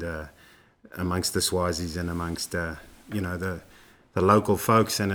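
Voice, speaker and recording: Deep-voiced man. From South Africa. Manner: rambling, many fillers and restarts. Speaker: running coach guest. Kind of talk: relaxed interview storytelling.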